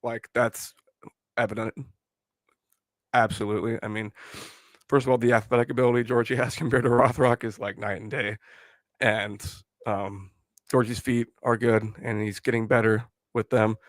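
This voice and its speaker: A hoarse young man who has lost his voice while sick, rambling opinions on a casual live stream. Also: mid-range voice, steady tone, normal speed, no echo. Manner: rambling, opinionated, filler-heavy. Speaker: fan channel host.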